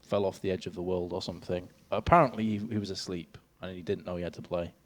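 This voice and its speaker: A young man with an English accent, his voice sitting a little low, casts an esports match from an event stage. He fills the dead time with self-mocking jokes and laughs easily. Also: fairly even intonation, quick pace. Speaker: esports caster. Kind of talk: casual caster banter.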